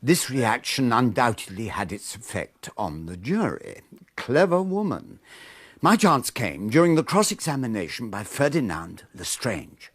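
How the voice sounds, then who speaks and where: Husky, swooping British gentleman storyteller. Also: mid-range voice, big pitch swings, measured pace, exaggerated voice. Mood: measured storytelling. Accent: British English. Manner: wry, emphatic. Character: dry, opinionated gentleman witness narrating a 1920s mystery. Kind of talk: radio drama first-person narration.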